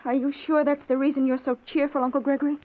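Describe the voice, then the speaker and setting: An actress playing an earnest, distressed college-age daughter in a 1940s radio drama, pleading in manner. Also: female voice, sits high, level tone, fast talker, close to an everyday voice. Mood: doubting. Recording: tinny old radio recording.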